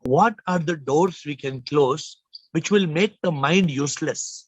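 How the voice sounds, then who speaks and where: High-voiced man teaching over a video call. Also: unhurried, no room sound. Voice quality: slightly low, subdued voice today. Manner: emphatic, repeats phrases, questions listeners. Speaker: spiritual teacher hosting a call. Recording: muffled video call audio.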